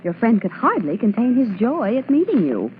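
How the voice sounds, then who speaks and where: A woman's voice: on the high side, sing-song, moderate pace, a little hiss or hum. An actress in 1940s radio style playing a poised female companion with a dry, composed manner. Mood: wry. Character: poised, wry young newsman's lady companion. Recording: muffled 1940s radio broadcast, music.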